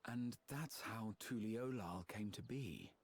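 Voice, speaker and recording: A man voicing a scholarly gentleman in a video game, polite, measured and formal. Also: on the low side, slightly rough, exaggerated voice. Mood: thoughtful. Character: courteous, thoughtful scholarly gentleman. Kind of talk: video game cutscene acting.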